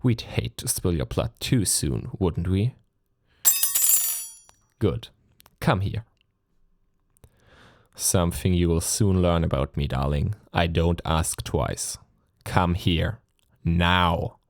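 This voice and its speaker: A man's voice: takes it slowly, long pauses, dry recording, clean studio sound, heavily acted. A man playing a centuries-old vampire lord, sadistic, possessive and silkily menacing, in a theatrical intimate ASMR roleplay. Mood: possessive, commanding. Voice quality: low, creaky. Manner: theatrical, taunting, courtly endearments, commanding. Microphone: close studio mic, dry.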